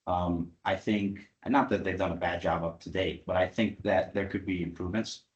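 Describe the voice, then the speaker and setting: A young man with a very low voice, speaking as a student candidate. He answers slowly and hesitantly. He often stops to fill the gaps with "um" and "uh". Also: steady tone, highs cut off. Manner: slow, hesitant, frequent "um" and "uh".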